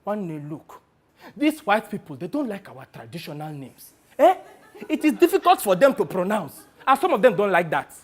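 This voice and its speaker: A man playing a brash, wheedling, money-minded talent agent with a Nigerian accent in a dramatic monologue, animated and full of exclamations. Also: high voice, normal speed, breathy edge, full character voice. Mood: explaining, showy. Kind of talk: one-person dramatic monologue.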